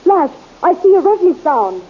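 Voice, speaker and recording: An actress playing a frightened young heroine in an old radio serial, breathless and alarmed. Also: female voice, very high voice, big pitch swings, moderate pace, narrow, tinny audio. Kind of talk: 1930s radio acting.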